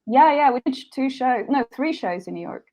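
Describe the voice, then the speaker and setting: A woman's voice: sits high, lively intonation, fairly quick, crowd noise. A young woman from Sweden, a singer-songwriter talking as a guest in a casual interview, chatty and full of restarts. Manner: chatty, many likes and restarts.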